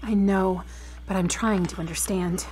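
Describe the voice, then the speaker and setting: A woman's voice: mid-range voice, fairly even intonation, moderate pace, darkish tone, close to an everyday voice. A woman playing a hurt, headstrong young woman in an audio drama, speaking frankly and earnestly. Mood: puzzled. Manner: frank, searching.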